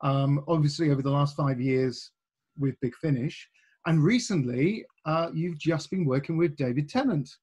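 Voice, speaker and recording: A man's voice: a little high, moderate pace, darkish tone, husky. A man hosting a panel interview in casual, conversational style, feeling his way into his questions. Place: remote online video panel during lockdown.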